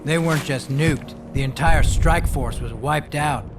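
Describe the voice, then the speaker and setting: A man's voice: a little high, unhurried, lightly acted. A man acting as a laid-back frontline soldier in a video game, with a casual, teasing, sardonic manner. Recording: music behind the voice.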